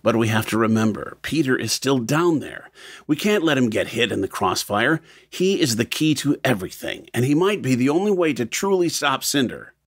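A man's voice: mid-range voice, animated, moderate pace, no room sound, studio quality. A man playing a practical, level-headed planner in an audio drama, speaking matter-of-factly.

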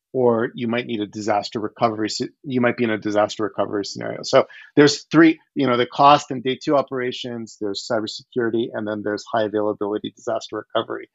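A man's voice: lowish voice, dry recording. Man on a dull, dry headset call. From Ukraine. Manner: animated, gestures while explaining, frequent restarts. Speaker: startup founder, guest. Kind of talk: casual video-call interview. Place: home living room. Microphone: headset on video call.

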